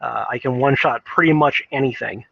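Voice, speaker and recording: Bright-voiced man rambling casual commentary, lo-fi sound. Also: medium pitch, fairly quick, creaky, slightly rough, no room sound. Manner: rambling, hesitant, thinking aloud. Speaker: video game commentator. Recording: dull lo-fi microphone sound.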